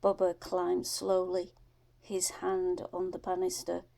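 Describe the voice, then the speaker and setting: A woman narrating an audiobook story in a measured, deliberate storytelling manner. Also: on the low side, monotone, vocal fry, mellow.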